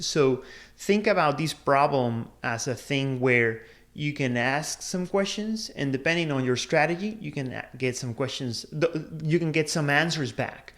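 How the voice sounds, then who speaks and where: A man in his thirties, raised in Peru, shares his career story to camera in a relaxed, personal way. His voice sits in an ordinary middle range. He pauses often, restarts phrases and leans on fillers while he thinks aloud. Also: medium pitch. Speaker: career advice video host. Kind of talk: casual personal talk to camera.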